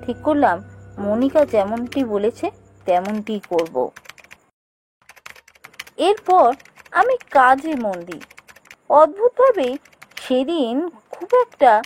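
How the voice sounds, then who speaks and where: Woman acting an anxious high-voiced young office worker. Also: sing-song, slow, pauses often, a little mellow, a little hiss or hum. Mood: subdued. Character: anxious young female office worker telling her ghost story. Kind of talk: dramatic first-person horror narration for a cartoon. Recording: music under the voice.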